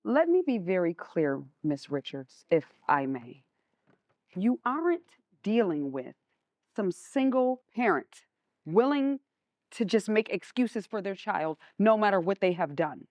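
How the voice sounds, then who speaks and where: A woman's voice: mid-range voice, big pitch swings, measured pace, a little mellow, no echo. A woman playing a stern, proud mother in a stage play, with a commanding and pointed way of talking. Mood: indignant, stern. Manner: commanding, pointed, formally clipped.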